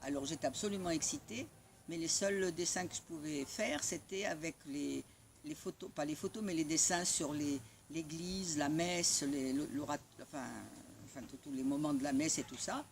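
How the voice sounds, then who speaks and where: A woman whose first language is Italian, telling lively, wry stories about her own schooling. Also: deep voice, moderate pace, slight background noise. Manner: lively, wry, spirited storyteller. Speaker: spiritual community member sharing a testimony. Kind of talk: personal storytelling after a morning talk.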